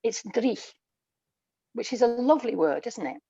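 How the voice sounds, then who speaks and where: An English woman talks as an interview guest, reflective and articulate. Her voice has a marked creak. The sound is dry and somewhat dull, as on a lo-fi recording. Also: fairly high pitch, animated, unhurried, dark tone, no echo. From England. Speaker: guest, writer and audio dramatist. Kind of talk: casual interview conversation.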